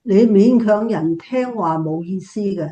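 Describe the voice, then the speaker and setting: Woman playing a mellow, devout Cantonese-accented older lady. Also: mid-range voice, measured pace, lightly acted, rough recording. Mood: gentle, earnest.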